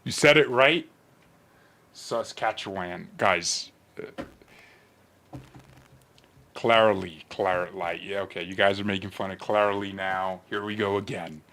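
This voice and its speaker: A man rambling casually and comedically to livestream viewers in a brash, mocking, animated manner. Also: sits low, a little slow, long pauses, slight background noise.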